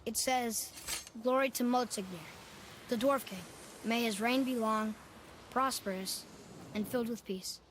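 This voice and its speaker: A woman's voice: a little high, steady tone, unhurried, pauses often, slight background noise, close to an everyday voice, low-quality recording. A performer voicing a curious, earnest young boy adventurer in a video game, inquisitive and eager. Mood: reading aloud. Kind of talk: video game dramatic acting.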